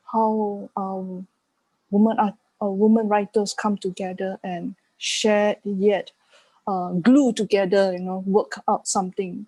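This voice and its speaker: Slow, mellow-voiced woman, halting. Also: medium pitch, dry recording, poor audio. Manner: hesitant, halting, searching for words. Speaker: panel speaker on art. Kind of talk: unscripted discussion answer.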